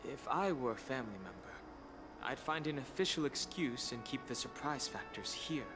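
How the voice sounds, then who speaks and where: A man's voice: mid-range voice, expressive, moderate pace, close to an everyday voice. An actor playing a cool, formal, reserved man in a video game, with a precise, dry, disapproving delivery. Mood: measured, serious. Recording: game music behind the voice.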